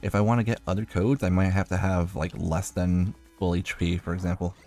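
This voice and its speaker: A man's voice: deep voice, little pitch movement, brisk, darkish tone, soft background music. A man giving casual video game commentary as a streamer, rambling and thinking aloud as he plays.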